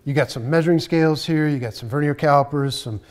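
A man's voice: a little high, fast talker. A man in his forties hosting a craft show, an eager and curious questioner in a large workshop, recorded on a lapel mic. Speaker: host. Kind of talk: casual interview chat. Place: large woodworking workshop indoors. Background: guitar music.

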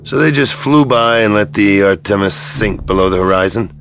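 A man's voice: lowish voice, moderate pace, fairly bright tone, a little hiss or hum. An actor playing a sardonic male action hero in a science fiction video game, wry and sarcastic.

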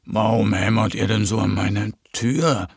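A man's voice: fairly low pitch, fairly even intonation, unhurried, creaky, slightly rough, no room sound, studio quality, full character voice. A man voicing a sleepy, curious, friendly little boy bear in a children's story.

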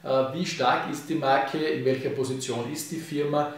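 A man, casually explaining finance to camera in a relaxed way, full of fillers. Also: mid-range voice, normal speed. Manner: relaxed, frequent fillers and "eben", hand gestures. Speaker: finance YouTuber. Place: home office with bookshelves, indoors. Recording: echoey room, distant mic.

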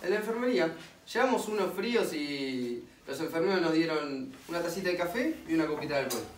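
A man's voice: a little high, animated, fast, close to an everyday voice. A man with an Argentine accent playing a curious young conscript newly arrived at a war dugout, in stage play dialogue. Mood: plain, inquisitive. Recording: echoey distant room, faint noise.

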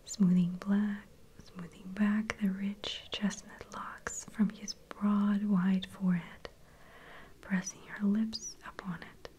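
A woman reading an old novel aloud in a soft, steady whisper, ASMR style. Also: medium pitch, takes it slowly, slight background noise. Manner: soft unvoiced whisper throughout. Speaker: reader.

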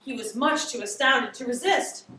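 A woman's voice: high-pitched, moderate pace, pushed and bright. A woman in her thirties giving a theatrical, emphatic dramatic story reading, drawing out words like a teacher before a class. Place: classroom, indoors. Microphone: far camera across the room. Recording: distant, echoey classroom recording with noise.

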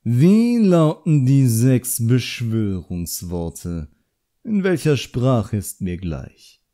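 Arrogant young male wizard, acted by a male narrator, cruel, mellow voice, slow savouring delivery, audiobook villain. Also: mid-range voice, big pitch swings, pitched above the performer's own voice. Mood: smug, challenging. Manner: slow, condescending, savouring each word. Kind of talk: audiobook character acting.

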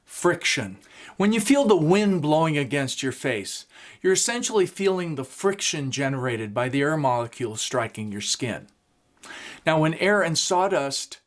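A man in his fifties, a woodworking instructor, giving a steady scripted explanation in a small home workshop. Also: fairly high pitch. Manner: steady, explanatory, gestures while talking.

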